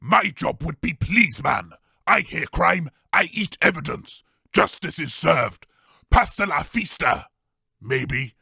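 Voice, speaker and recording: Blunt, hungry creature with broken English; gravelly, raspy, a touch high. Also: male voice, expressive, unhurried, sharp tone, dry recording, studio quality, heavily acted. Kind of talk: comedy radio-play acting.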